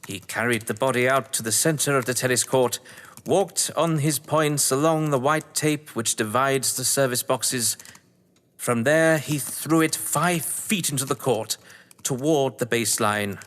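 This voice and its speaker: A man voicing a pompous, majestic English great detective, booming and deliberate, in a dramatic read-aloud of detective fiction. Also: a bit bright, natural voice. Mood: triumphant, pompous. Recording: long reverberant hall echo.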